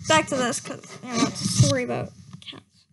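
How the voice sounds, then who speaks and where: A woman's voice: sits high, steady tone, a little fast, gravelly, heavy background noise. A young woman giving a mocking dramatic reading of a fan story, with sarcastic asides and frequent giggles. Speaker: reader.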